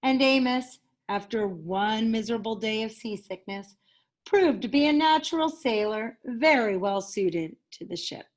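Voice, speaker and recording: A woman reading a children's picture book aloud as a teacher, with enthusiastic, animated delivery and dramatic emphasis. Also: a little high, measured pace. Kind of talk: expressive children's story reading.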